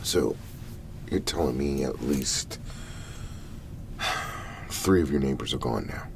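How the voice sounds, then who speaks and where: A man playing an apologetic agency investigator in an ASMR roleplay, soft-spoken, hesitant and formally measured. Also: rumbling low, creaky, noisy background, voice pushed down, heavily acted. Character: apologetic, taken-aback male agency investigator on a case.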